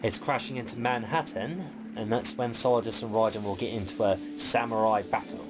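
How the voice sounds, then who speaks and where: A man's voice: fairly low pitch, fairly even intonation, fairly quick. A man giving casual, explanatory co-commentary on video game cutscenes. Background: game soundtrack music.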